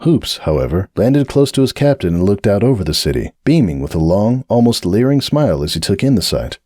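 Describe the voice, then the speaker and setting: A man narrating a dramatic reading of fan fiction in an expressive storytelling manner. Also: on the low side, a little fast, no echo, studio quality. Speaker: narrator, reader.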